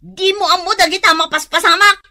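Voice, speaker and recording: A scolding, fed-up wife in an Ilocano-accented radio comedy drama. Her voice is extremely high and piercingly sharp. She nags in a shrill, exasperated way. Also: fairly even intonation, fairly quick, heavily acted. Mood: angry, hurt. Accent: Ilocano Filipino. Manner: nagging, shrill.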